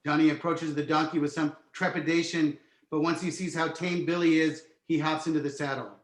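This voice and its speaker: Male narrator, slightly high voice, scripted screenplay reading, stage directions, echoey room. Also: fairly even intonation, fairly quick. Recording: roomy, echoing home recording.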